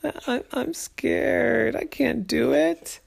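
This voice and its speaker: A parent putting on a nervous little boy in a storybook tale, his voice shooting up high and swinging wildly. He whines and stammers, then squeals with delight. The delivery is slow and drawn out. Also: very animated, darkish tone, heavily acted. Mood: scared. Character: scared little boy at a water park.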